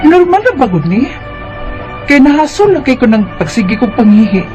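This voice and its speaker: A woman's voice: high-pitched, very animated, normal speed, music in the background. A woman with a Cebuano Bisaya accent playing a tired, worried teenage schoolgirl who complains and questions in a radio drama. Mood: puzzled, troubled. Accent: Cebuano Bisaya Filipino.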